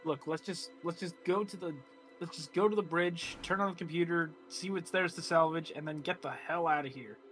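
A man with a somewhat high voice talks bluntly and colloquially as a game player. Faint music plays behind his casual discussion. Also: a little fast, fairly bright tone. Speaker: player in an online tabletop game.

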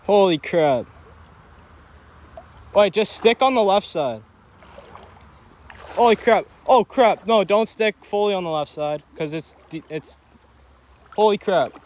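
High-voiced young American guy, outdoors. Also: animated, takes it slowly, pauses often, a little mellow. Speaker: vlogger on a fishing trip. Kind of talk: casual banter among friends while hiking. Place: outdoors in overgrown wet woodland. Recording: noisy outdoor action recording.

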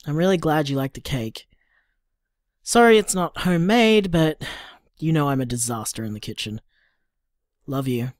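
An actor playing an affectionate, gently rambling young Australian boyfriend in an audio drama told through voicemails. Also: male voice, a little high, expressive, normal speed, pauses often, no room sound, clean studio sound, lightly acted. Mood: caring.

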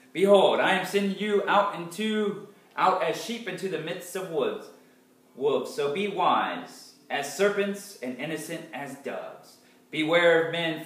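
Male Bible reader, highish voice, declamatory with deliberate pauses, recorded in a very echoey room. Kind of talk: dramatic scripture reading aloud. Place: small, sparsely furnished living room indoors. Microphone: distant camera microphone. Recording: echoey room, far from the camera mic.

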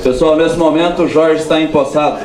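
A man's voice: on the high side, fairly even intonation, a little fast, a crowd in the background. A man in his forties with a Brazilian accent, speaking informally as an official at a public ceremony on a handheld microphone. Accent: Brazilian Portuguese. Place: crowded room at a public ceremony. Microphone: handheld microphone over PA. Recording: echoey amplified room sound.

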